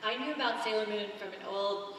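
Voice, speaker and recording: A woman's voice: mid-range voice, a little fast, fairly bright tone. A woman with a scratchy voice, a voice actress chatting easily and laughing on a convention panel. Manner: laughing, easygoing. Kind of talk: casual panel talk. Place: large convention hall. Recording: echoey convention hall, far from mic.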